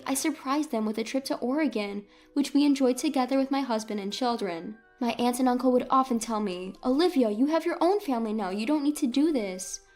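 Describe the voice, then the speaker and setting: A woman's voice: sits high, a little mellow. A woman reading a scripted first-person story as a dramatic narrator.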